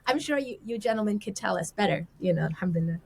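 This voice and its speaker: A woman's voice: medium pitch, lively intonation, quick pace, gravelly, darkish tone, a little hiss or hum. A woman giving conversational relationship advice as a podcast guest, explaining through example questions and quotes.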